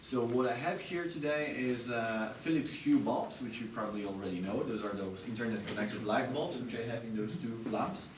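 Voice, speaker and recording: Hesitant male demonstrator in a noisy room. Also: mid-range voice. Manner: hesitant, halting delivery with many long filler pauses. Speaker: product demonstrator. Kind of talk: live product demonstration to an audience. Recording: noisy, echoey room, distant microphone.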